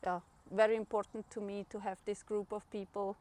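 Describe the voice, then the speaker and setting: A Swiss woman in her thirties talks in English with a Swiss German accent. Her native language is Swiss German. She sounds relaxed and chatty, and she laughs often as she answers an interviewer. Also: medium pitch, a little fast. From Switzerland. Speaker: guest runner. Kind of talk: casual interview talk.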